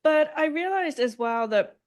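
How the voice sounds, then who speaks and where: A middle-aged woman with a Northern Irish accent, giving an academic lecture as guest speaker in a measured, self-deprecating way. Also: sits high, animated. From Northern Ireland. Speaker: guest speaker, law professor.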